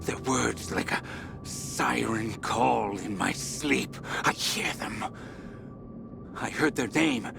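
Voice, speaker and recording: An actor playing an obsessed researcher sliding into messianic madness in a video game audio log, speaking haltingly and broodingly, at times breaking into whispers or cries. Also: male voice, medium pitch, creaky. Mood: hushed, awed. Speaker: expedition leader recording audio logs. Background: background music.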